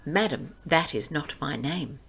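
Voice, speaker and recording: A woman's voice: low-pitched, lively intonation, measured pace, gravelly, fairly bright tone, breathy edge, exaggerated voice. Woman playing a wily, sing-song little dwarf man. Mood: stern. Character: wily little dwarf man, bargaining, singing, quick to rage.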